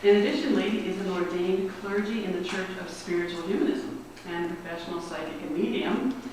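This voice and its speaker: A woman's voice: lowish voice, brisk. A woman directing a society, welcoming an audience and introducing the evening's speaker, with frequent hesitations and fillers. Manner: reads a biography with hesitations and fillers. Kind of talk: formal introduction. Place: meeting room before an audience. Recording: echoey room, mic far off, background hiss.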